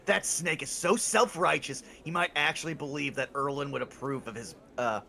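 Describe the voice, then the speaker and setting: A man's voice: a little high, normal speed, creaky, bright, forceful tone, a little hiss or hum. A man voicing a wry, defiant drifter in a frontier space bar, live while playing a game. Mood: scornful. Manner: scornful and laid-back.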